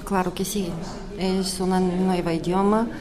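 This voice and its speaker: A young woman from Bulgaria with a Bulgarian accent, answering interview questions in slightly halting non-native Spanish. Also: lowish voice, level tone, crowd noise. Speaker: interview guest.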